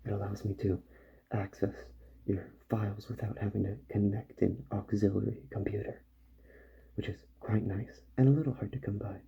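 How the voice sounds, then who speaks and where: A man doing a soft-spoken ASMR roleplay as a repair doctor, with gentle, slow, partly whispered delivery. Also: on the low side, fairly even intonation, vocal fry, slightly rough, lo-fi sound. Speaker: ASMR performer playing a robot repair doctor.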